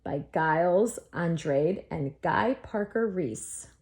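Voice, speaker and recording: Female storybook narrator, lowish pitch, highly animated read-aloud for young children. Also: slow pace, husky. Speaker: storybook reader.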